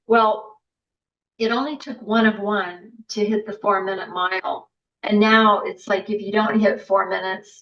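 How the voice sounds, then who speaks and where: A woman telling a long story in casual chat as a podcast guest, animated, with filler words. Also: medium pitch. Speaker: patient's wife, podcast guest. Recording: muffled lo-fi podcast audio.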